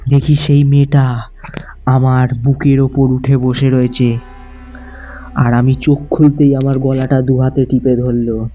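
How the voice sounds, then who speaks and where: A man telling a first-person horror story in a slow, suspenseful way. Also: mid-range voice, little pitch movement. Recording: muffled lo-fi with faint music.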